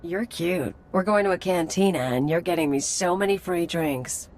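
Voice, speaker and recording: An actress playing a brash, teasing rogue in a video game, flippant and swaggering. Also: female voice, fairly low pitch, normal speed, a little mellow, natural voice. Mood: teasing, cocky.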